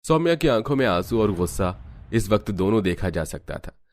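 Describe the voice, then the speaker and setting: A man's voice: fairly low pitch, sing-song, normal speed, faint music behind. A man narrating a scripted cartoon family story.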